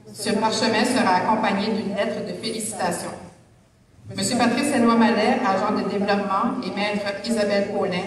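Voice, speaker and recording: A woman acting as master of ceremonies, making formal, measured announcements at a graduation. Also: medium pitch. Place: large hall at a graduation ceremony. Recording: echoey hall, voice far off, audience behind.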